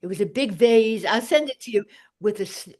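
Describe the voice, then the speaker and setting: A woman's voice: medium pitch, lively intonation, fairly quick, a little mellow. An older woman chatting casually in a group, blunt and rambling in the way she talks.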